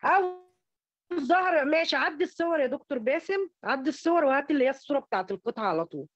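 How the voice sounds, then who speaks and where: A woman with an Egyptian Arabic accent giving an academic slide lecture over an online call, halting and repeating words as she goes. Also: sits high, lively intonation, dry recording. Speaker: academic presenter. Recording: dull lo-fi online call audio.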